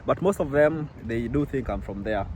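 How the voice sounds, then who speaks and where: A man's voice: mid-range voice, expressive, moderate pace, background noise. A young man from Kenya hosting a casual chat in a probing, questioning manner. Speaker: vlog host, interviewer.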